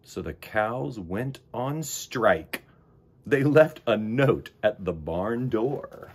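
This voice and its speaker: A man reading a children's storybook aloud as an expressive narrator. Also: on the low side, drawn-out words, slightly rough.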